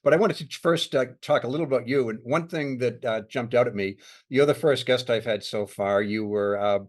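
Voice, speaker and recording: A man with a medium-pitched voice hosts an interview show. He talks in a casual, conversational way with his guest. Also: a little fast. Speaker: podcast host.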